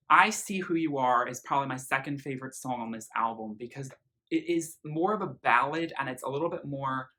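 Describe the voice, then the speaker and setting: A man's voice: medium pitch, bright, forceful tone. A man giving a casual, effusive music review, speaking earnestly and leaning on emphasized words. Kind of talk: casual album review commentary with lyrics read aloud.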